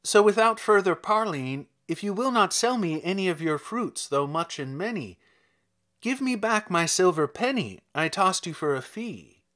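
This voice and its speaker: Storybook heroine, male reader: young, brave, affectionate, emphatic, highly animated intonation. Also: moderate pace. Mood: polite, firm. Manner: urgent, emphatic, dramatic. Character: brave, eager, loving young woman in a fairy-tale poem.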